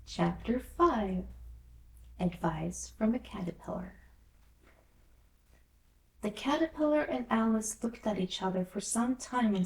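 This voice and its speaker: Slow-paced woman storyteller in echoing room. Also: medium pitch, vocal fry. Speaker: storyteller reading a children's classic. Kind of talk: scripted storybook narration. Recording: roomy echo, distant from mic.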